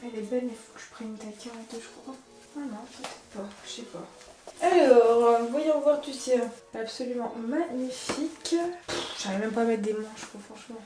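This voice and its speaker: A woman's voice: a little high, fairly quick, roomy sound, noisy background. A woman with a cold chatting casually as she unboxes and tries on clothes, rambling and correcting herself. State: has a cold. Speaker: vlogger. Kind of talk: casual try-on haul chat.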